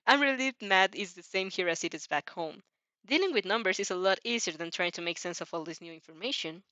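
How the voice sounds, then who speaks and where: A woman's voice: fairly low pitch, expressive, brisk, bright, forceful tone, no echo. A woman voicing a cheerful, confident young vampire girl in a fan fiction reading, casual and self-assured. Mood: chirpy.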